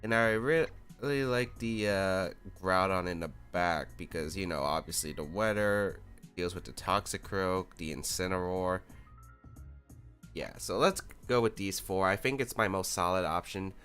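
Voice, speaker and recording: A man with a lowish, smooth voice runs casual commentary on his own video, weighing each move out loud. He hedges with filler words and sounds a little under the weather. Faint music plays underneath. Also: a little slow. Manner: thinks aloud, analytical, relaxed with fillers. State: a little sick. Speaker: YouTube competitive gaming host.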